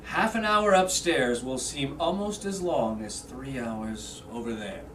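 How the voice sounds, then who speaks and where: A woman acts out a middle-aged army husband in a stage play, very low in voice and lively in pitch. He is brusque and modest, yet eager. The sound comes from across an echoey room. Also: measured pace. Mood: eager, impatient. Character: excited returning soldier husband, middle-aged man, gruffly modest. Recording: distant mic, echoey room, faint noise.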